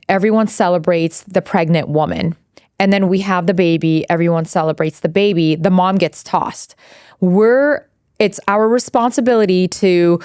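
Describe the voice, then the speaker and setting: A woman's voice: fairly low pitch, darkish tone, smooth, no echo, clean studio sound. A woman in her forties who grew up in Iran, co-hosting a podcast in casual chat, candid and blunt, swearing freely and wandering off on tangents. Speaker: podcast co-host.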